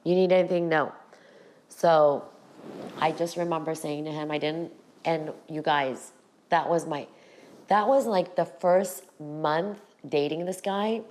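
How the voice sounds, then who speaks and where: A middle-aged woman with a raspy voice, hosting her own podcast and talking frankly to her viewers about her past. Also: low-pitched, unhurried, darkish tone. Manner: candid, direct address to viewers. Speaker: podcast host. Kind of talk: personal storytelling to camera.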